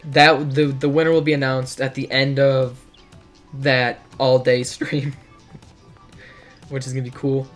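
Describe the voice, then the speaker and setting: A teenage boy, hoarse from hours of streaming, chatting casually and rambling while reacting to his chat in a dim bedroom. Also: medium pitch, level tone, a little slow, pauses often, soft background music.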